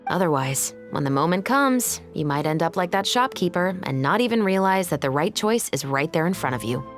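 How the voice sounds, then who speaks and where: A woman playing a composed, earnest law officer in an anime game, speaking formally and with measured seriousness. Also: on the low side, animated, over music, natural voice. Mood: calm, serious.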